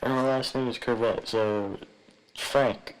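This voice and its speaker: A man's voice: medium pitch, steady tone, slow pace, noisy background. A man hosting a podcast, speaking in casual, chatty commentary. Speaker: podcast host.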